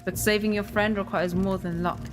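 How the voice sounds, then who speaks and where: A grave, fatalistic survivor of an alien world, played by a woman in an audio adventure. She speaks formally and portentously at a middle pitch, over clear background music. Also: steady tone, fairly quick, close to an everyday voice. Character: solemn, fatalistic scarred female survivor of alien temple. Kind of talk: audio drama acting.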